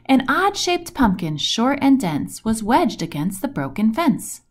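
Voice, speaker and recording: A woman reading a rhyming children's picture book aloud in an expressive, deliberate storytelling manner, stressing the rhymes and action words. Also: fairly high pitch. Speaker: storybook reader.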